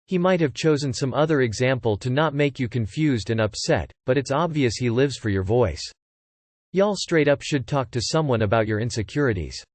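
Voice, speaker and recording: A man reading online comments aloud in a plain, even scripted narration. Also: mid-range voice, dry recording.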